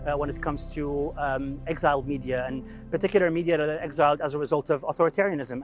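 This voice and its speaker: Hesitant middle-aged man from Syria. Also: a little high. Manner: hesitant, many fillers and restarts. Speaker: executive editor of a media outlet. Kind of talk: interview to camera.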